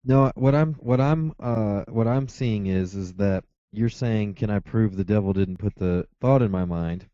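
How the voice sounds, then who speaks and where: A man's voice: on the low side, unhurried, few pauses, dark tone, no echo, muffled recording. A man arguing in a heated debate, insistent, with frequent stammering restarts. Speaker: atheist caller.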